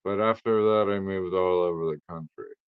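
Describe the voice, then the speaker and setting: A man's voice: low-pitched, steady tone, moderate pace, smooth, no echo. A man who grew up in Louisiana speaking French first, chatting casually as a livestream guest, rambling and trailing off. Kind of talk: casual chat. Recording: dull lo-fi stream audio.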